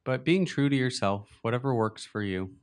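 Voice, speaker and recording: A man leading a beginners' body scan meditation, speaking slowly and gently with long pauses. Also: mid-range voice, expressive, clear, resonant tone. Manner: slow, gentle, unhurried guidance with long pauses. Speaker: meditation teacher. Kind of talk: guided meditation.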